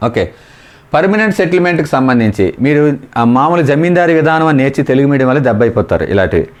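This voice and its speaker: A man's voice: fairly high pitch, big pitch swings, very fast. Telugu man teaching exam answers. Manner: explanatory, repeats key terms. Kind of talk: informal lesson explaining exam questions and answers.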